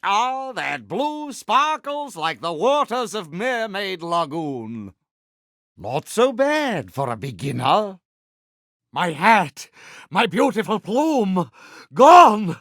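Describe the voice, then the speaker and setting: Vain pirate villain, British accent, highish swooping pitch, grandiose, mannered, theatrical game voice. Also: male voice, very animated, slow, a bit bright, dry recording, clean studio sound, exaggerated voice. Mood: haughty, instructive, then indignant, wounded. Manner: pompous, grandiose, mannered formal speech. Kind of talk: theatrical video game character voice acting.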